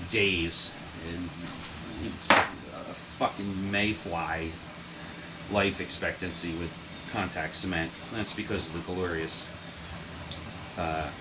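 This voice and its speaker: A man casually narrating his bookbinding work at home, rambling and swearing with self-deprecating asides. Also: sits low. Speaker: hobbyist bookbinder vlogger. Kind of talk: casual workshop how-to narration. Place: home workshop with heater blowing. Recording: wind noise from heater on mic. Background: heater blowing and printer running.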